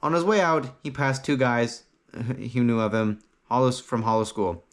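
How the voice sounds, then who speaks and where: A man's voice: mid-range voice, unhurried. A man reading a fantasy story aloud as an audiobook narrator, in a dramatic, phrase-by-phrase way.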